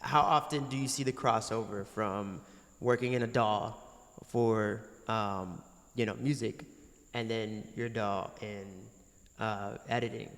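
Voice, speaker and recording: A man's voice: lowish voice, steady tone, unhurried, fairly bright tone. A man hosting a podcast interview, asking long, rambling questions in a casual way. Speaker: podcast host. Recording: long reverb on voice.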